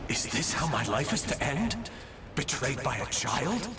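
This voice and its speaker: A man's voice: on the high side, big pitch swings, a little slow, noisy background. An actor playing a proud, embittered fugitive nobleman in a video game, with formal, theatrical, brooding diction. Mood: despairing. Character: proud, embittered fugitive French nobleman swordsman, weary and betrayed. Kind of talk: dramatic video game acting. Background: music.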